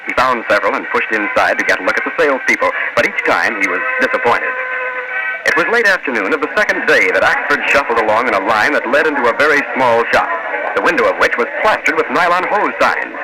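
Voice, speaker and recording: A man's voice: medium pitch, expressive, fast talker, loud music behind the voice, close to an everyday voice. A man narrating a drama in a scripted 1940s radio style. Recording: very noisy old radio broadcast recording.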